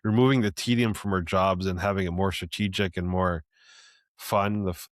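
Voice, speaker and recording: A man's voice: deep voice, fairly even intonation, normal speed, no room sound, clean studio sound. A man hosting a casual interview in an easygoing way, often checking in with "right?" as he thinks aloud. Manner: easygoing, with many fillers and restarts, ending lines with "right?". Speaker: podcast host.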